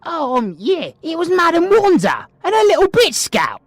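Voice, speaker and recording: A British man voicing a panicked young male thug who shouts frantically at a much higher pitch than his own voice. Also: huge pitch swings, normal speed, no echo, heavily acted. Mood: nervous.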